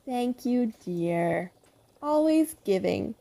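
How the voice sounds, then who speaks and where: An actress playing a middle-aged mother in an audio drama, her voice fairly high with big swings in pitch. She is prim and doting, politely sweet with a pointed, probing edge. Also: lively intonation, slow pace, vocal fry, mellow, heavily acted. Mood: sweetly probing.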